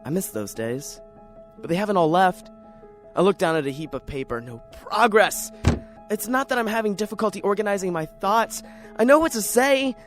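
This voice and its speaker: A man's voice: high-pitched, animated, normal speed, faint music behind. A man playing a lonely, neurotic, paranoid writer in an introspective, theatrical radio drama monologue. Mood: wistful, then brooding, frustrated.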